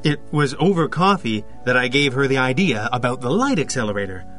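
An actor playing an awkward, earnest young photographer in an audio drama, stammering and hesitant. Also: male voice, medium pitch, animated, brisk, fairly bright tone, soft background music, close to an everyday voice.

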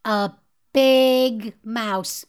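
High-pitched, dithering fairy aunt. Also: female voice, steady tone, extremely slow, clean tone. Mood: distracted, curious. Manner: dithering, muttering to herself. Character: flighty, giggly fairy aunt who sneaks magic. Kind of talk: fairytale audio drama acting.

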